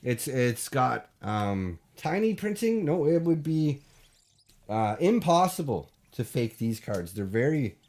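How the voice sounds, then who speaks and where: A man hosting a call-in show, bantering deadpan with a caller, full of hesitations and restarts. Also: mid-range voice, slow. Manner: hesitant, full of ums and restarts, straight-faced.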